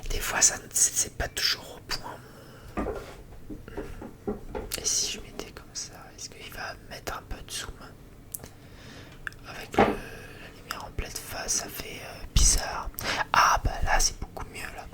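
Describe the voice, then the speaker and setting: A man's voice: a little slow, pauses often. A man whispering breathily in a casual, unscripted ASMR chat, rambling and hesitating as he talks. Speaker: ASMR video creator.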